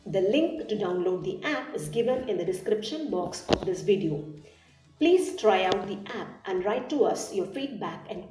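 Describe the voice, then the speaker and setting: A woman reading a scripted product tutorial as narrator, in a measured, instructional way. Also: mid-range voice, a little mellow. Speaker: app demo narrator. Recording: roomy echo, distant mic, music behind. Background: music.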